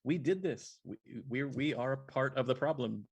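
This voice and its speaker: Male podcast co-host, gravelly, low-key and calm. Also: normal speed. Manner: calm, soft-spoken, frequent short agreement. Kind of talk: casual live chat.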